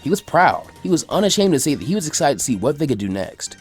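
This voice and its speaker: A man's voice: a little high, very animated, quick pace, husky, soft background music. A man narrating a fanfiction story aloud as the reader and host of the channel. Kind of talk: scripted audiobook narration.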